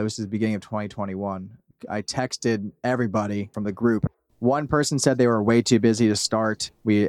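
A man's voice: lowish voice, no echo, clean studio sound. A man in his thirties chatting casually as an interview guest, candid and self-deprecating about himself. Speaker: podcast creator guest.

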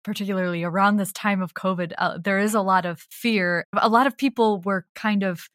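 A woman's voice: medium pitch, steady tone, a little fast, a bit bright, dry recording, studio quality. A woman talking casually as a creative guest in an interview.

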